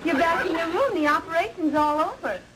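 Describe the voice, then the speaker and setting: A woman playing a caring, solicitous middle-aged wife and mother in a situation comedy, speaking gently. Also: very high-pitched, animated, a little fast. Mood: soothing. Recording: noisy vintage broadcast, audience behind.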